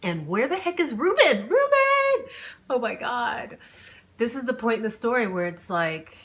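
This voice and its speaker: A woman's voice: on the high side, sing-song, a little slow, a bit bright. A woman speaking as an author and channel host, with an informal, storytelling way of talking. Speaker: author and channel host reading own novel. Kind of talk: chatty vlog intro, then audiobook narration.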